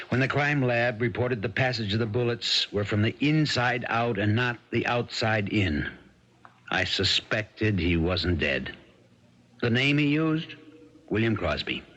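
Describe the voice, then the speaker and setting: An actor playing a firm, compassionate sheriff with a husky voice in a dull-sounding 1970s radio drama. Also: male voice, mid-range voice, a little slow, pauses often, fairly bright tone. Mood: earnest testimony. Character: steady small-town sheriff, firm, lawful, compassionate.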